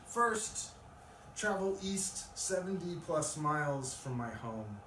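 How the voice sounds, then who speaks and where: A male poet speaks at a live reading in a room. His voice is picked up from a distance with plenty of echo. There is clear, loud noise over the recording. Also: fairly high pitch, sing-song, slow. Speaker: poet reading own work. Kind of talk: live poetry reading with casual introduction. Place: live event in a room with an audience.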